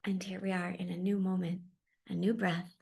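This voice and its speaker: A woman gently leads a guided meditation, speaking slowly and softly, her words often drifting into a half-whisper. Her pitch stays level and even, with a slightly gravelly edge. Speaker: meditation leader.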